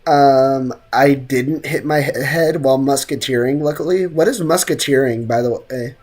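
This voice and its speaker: Game streamer, medium pitch, casual livestream chatter, joking, slangy. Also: normal speed, darkish tone.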